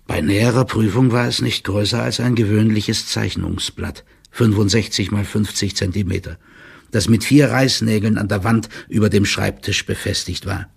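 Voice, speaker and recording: Stout official, husky and gravelly, measured, wry, formal audio drama report. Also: male voice, lowish voice, fairly even intonation, exaggerated voice. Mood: uneasy, measured. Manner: formal, measured, drily ironic. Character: stout male official giving a stiff, wry written report.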